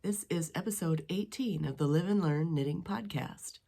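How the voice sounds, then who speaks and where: A woman's voice: lowish voice, normal speed, gravelly, warm tone. A middle-aged woman hosting a knitting podcast from her dining room at home, talking in a chatty, rambling way with a stuffy head from a cold. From western Wisconsin. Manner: chatty and rambling, with many ums and restarts. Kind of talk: casual chat.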